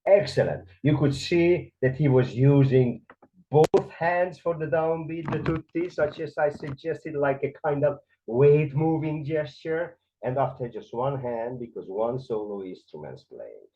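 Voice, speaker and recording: A man with a Hungarian accent teaches conducting in an animated, explanatory way, counting beats and voicing the rhythms. His pitch swings widely as he talks. The recording sounds somewhat dull and lo-fi. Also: unhurried, a little hiss or hum. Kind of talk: explanatory music lesson.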